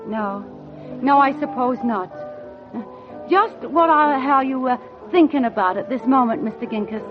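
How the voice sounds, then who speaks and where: A woman's voice: high-pitched, moderate pace, close to an everyday voice. An actress playing a wry, lovelorn single woman, speaking in a dry, genteel, wistful way in a 1940s radio drama. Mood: nervous, hopeful. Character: wry, lovelorn single woman on holiday, narrating her hopes. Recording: muffled 1940s radio recording with music.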